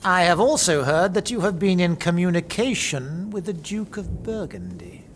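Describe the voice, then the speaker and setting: A man's voice: sits high, moderate pace, a little hiss or hum, lightly acted. A man playing a shrewd, thoughtful medieval archbishop in an audio drama, speaking formally and probingly. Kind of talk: historical audio drama acting.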